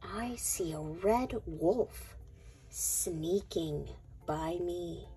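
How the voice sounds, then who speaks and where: A woman reading a picture book aloud to young children in a deliberate, sing-song way. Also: on the low side, mellow, faint music behind. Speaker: children's read-aloud narrator.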